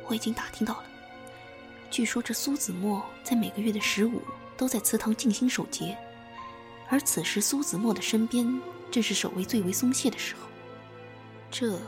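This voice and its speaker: A woman acting a dutiful, calculating young assassin with a formal, measured manner in a Chinese costume audio drama. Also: a little high, over music, close to an everyday voice.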